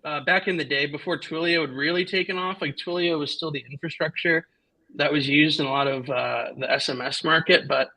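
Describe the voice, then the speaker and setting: A man's voice: on the high side, steady tone, a little fast, sharp tone, poor audio. A man in his thirties co-hosting a casual tech chat, rambling and padding his talk with fillers. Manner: rambling, full of "like" and "you know". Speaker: podcast co-host.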